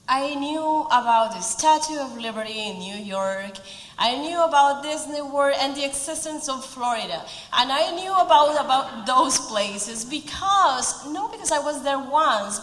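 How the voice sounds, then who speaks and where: A woman's voice: a little high, fairly bright tone, slight background noise. A woman in her forties from Peru with a Spanish accent, giving an earnest speech with light humor at a community event. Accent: Peruvian Spanish. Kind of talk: prepared speech. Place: event hall. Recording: roomy hall reverb.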